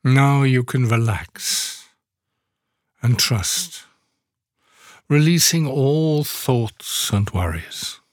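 A man guides a meditation in a medium-pitched voice, moving very slowly through each instruction. He leaves long, soothing pauses between phrases. The recording is close and dry, with almost no room sound. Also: lively intonation, dry recording. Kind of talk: guided yoga nidra meditation.

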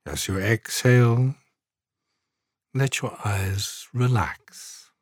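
Male meditation narrator, unhurried and calm, mid-range voice, soothing scripted guidance with generous pauses. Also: medium pitch, breathy edge, dry recording, clean studio sound. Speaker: meditation guide, podcast host. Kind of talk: guided yoga nidra relaxation meditation, scripted narration.

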